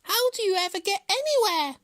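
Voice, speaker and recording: Very high-pitched, mocking storybook hare. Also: female voice, animated, brisk, fairly bright tone, dry recording. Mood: scornful. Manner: scornful, teasing exclamations. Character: boastful, mocking, hurried young hare. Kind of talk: children's storybook character voice.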